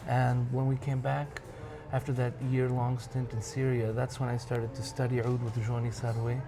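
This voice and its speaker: A man's voice: mid-range voice, flat delivery, moderate pace. A man from Michigan with an American accent, talking casually as an interview guest and slipping Arabic phrases into his English. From Michigan, United States. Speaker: interview guest, musician and music director. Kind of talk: casual interview talk, reminiscing. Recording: clearly noisy background ambience.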